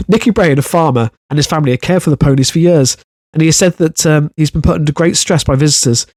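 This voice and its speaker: A man with a Welsh accent co-hosting a comic podcast, reading stories in a halting, giggly way. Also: fairly high pitch, a little mellow, no room sound. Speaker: podcast co-host. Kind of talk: comedic news reading.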